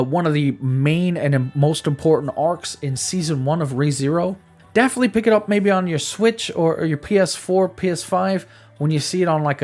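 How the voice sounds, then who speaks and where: Animated male game reviewer with music behind. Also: a little high. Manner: conversational, emphatic, stammers and repeats words. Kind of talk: casual video game review to camera or voice-over.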